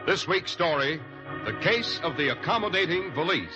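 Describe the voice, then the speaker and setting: A man working as a 1940s radio announcer and pitchman, in scripted announcing and banter. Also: expressive, moderate pace, loud music behind the voice. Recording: dull vintage 1940s radio recording.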